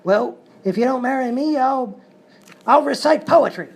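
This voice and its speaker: An actor playing a bumbling, lovelorn Wild West bandit with a folksy, hesitant drawl in a live radio-style comedy sketch. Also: male voice, very high voice, lively intonation, a little slow, full character voice. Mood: blustering. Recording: noisy live stage recording.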